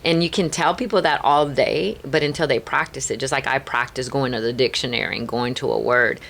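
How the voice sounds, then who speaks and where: Gravelly-voiced Chicana woman telling stories. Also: very deep voice, fairly quick, fairly bright tone. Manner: earnest, frequent "like" and "right?". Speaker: podcast guest. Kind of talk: candid storytelling interview.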